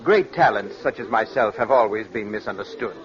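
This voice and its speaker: A man's voice: fairly low pitch, animated, fairly quick, lightly acted. An actor playing a cold, conceited radio boss in his late forties, formal and condescending, in 1940s radio drama style. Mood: self-important. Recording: dull, noisy old radio recording.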